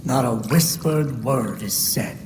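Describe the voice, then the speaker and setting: An actor playing an aging, cultured crime boss in a video game, with a dry, sardonic, unhurried delivery. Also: male voice, mid-range voice, roomy sound, noisy background, natural voice. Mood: cryptic, sardonic. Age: older. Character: sly aging male crime boss, cultured, wary, unruffled. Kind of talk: cinematic video game acting. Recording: music under the voice. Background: loud music.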